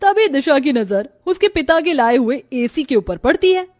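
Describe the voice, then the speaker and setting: A woman's voice: sits high, very animated, moderate pace. A woman reading scripted narration for a children's moral story.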